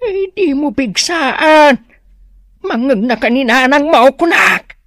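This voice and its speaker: A woman playing a stubborn, money-minded elderly father with an Ilocano accent in a comedic radio drama, full of drawn-out sighs and exclamations. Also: sits high, animated, a bit bright, dry recording, studio quality, full character voice. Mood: sneaky.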